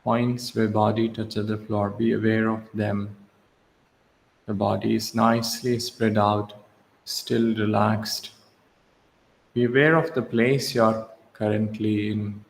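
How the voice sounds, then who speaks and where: A man leading a guided relaxation as a yoga instructor, speaking in short, measured instructions with long pauses, recorded in a reverberant room. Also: fairly low pitch, little pitch movement, creaky. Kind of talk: slow guided meditation. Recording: echoey reverberant room, faint background hiss.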